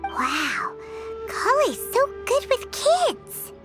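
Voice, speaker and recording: A woman voicing a tiny, excitable, childlike fairy companion with a squeaky voice in a video game. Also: very slow, exaggerated voice. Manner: speaks of herself in third person, exclaiming. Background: music.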